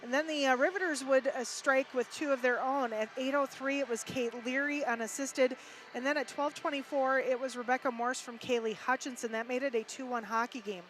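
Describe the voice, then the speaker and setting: A woman's voice: high voice, fairly even intonation, brisk, slight background noise. A woman with a Minnesotan accent doing casual hockey commentary, chatty and reading stats with fillers. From Minnesota, USA. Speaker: hockey broadcaster. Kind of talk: casual live-stream commentary.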